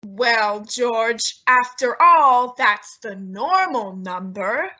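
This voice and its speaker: A woman playing an excitable, indignant young housewife in a radio sitcom, with theatrical comic delivery. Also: fairly high pitch, drawn-out words, highs cut off, exaggerated voice. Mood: coy, pleased. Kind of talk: 1950s radio sitcom acting.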